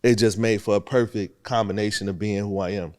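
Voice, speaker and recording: A man's voice: on the low side, brisk. A young man from Buffalo, a rapper, talking casually in an interview and telling his story with easy laughs. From Buffalo, New York. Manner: relaxed, storytelling, laughs often. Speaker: rapper guest.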